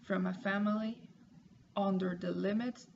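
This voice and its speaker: Woman in her 30s, reads her class paper slow and careful, bit of fry, dull noisy home recording. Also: medium pitch, fairly even intonation, a little mellow. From Puerto Rico. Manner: careful, measured reading with pauses. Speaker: student. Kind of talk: reading a class assignment aloud.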